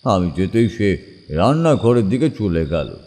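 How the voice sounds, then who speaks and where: Slow, dramatic male horror narrator. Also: lowish voice, sing-song, darkish tone. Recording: long reverb, music behind.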